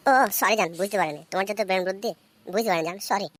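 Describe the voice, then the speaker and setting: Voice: mid-range voice, rapid-fire, a bit bright, close to an everyday voice. A performer voicing a lovestruck, lecherous young village man in a comic cartoon, wheedling and sweet-talking. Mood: apologetic. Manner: wheedling, cajoling. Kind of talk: comic Bengali cartoon voice acting.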